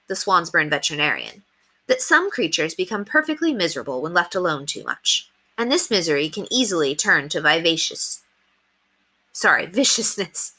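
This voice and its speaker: A woman reading a children's book aloud as an expressive storyteller. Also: sits low.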